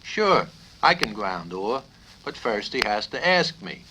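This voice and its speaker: A man's voice: fairly low pitch, big pitch swings, unhurried. A man voicing a matter-of-fact, stubbornly logical army doctor in an audiobook. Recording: noisy 1970s vinyl record.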